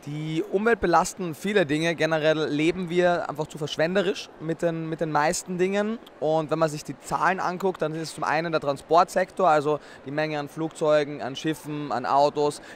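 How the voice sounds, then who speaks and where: A young man talks into a handheld mic at an indoor expo booth. His voice sits a little high, and he explains things fluently and articulately, often with a short chuckle before he answers. Faint background noise runs underneath. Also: fairly even intonation, normal speed, hardly any pauses. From Austria. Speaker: interview guest, nutrition expert. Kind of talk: casual interview answers.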